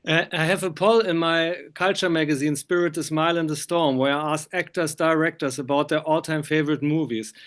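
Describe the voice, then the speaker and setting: Male interviewer, slightly high voice, chatty, halting English phrasing. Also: steady tone, a bit bright. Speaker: film magazine interviewer. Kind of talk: casual interview over video call.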